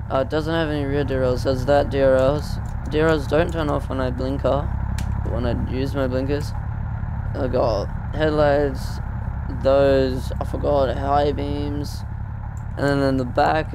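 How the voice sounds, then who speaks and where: A man's voice: medium pitch, background noise. A man giving casual, off-the-cuff commentary as a gaming video host, in a hesitant, rambling way. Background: car engine sounds from a driving game.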